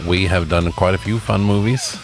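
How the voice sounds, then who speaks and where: A man's voice: deep voice, steady tone, moderate pace. A man co-hosting a casual quiz-style chat about movies and games, joking and swearing informally. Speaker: co-host. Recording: guitar music behind the voice.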